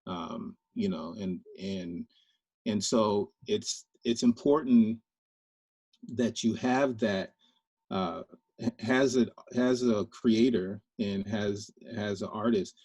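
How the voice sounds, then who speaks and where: A man from Liberia speaks on a panel with a low, slightly husky voice. He talks thoughtfully, pausing often with fillers and restarting his sentences. The sound is dry and close. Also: darkish tone, no room sound. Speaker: filmmaker panelist. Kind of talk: panel discussion.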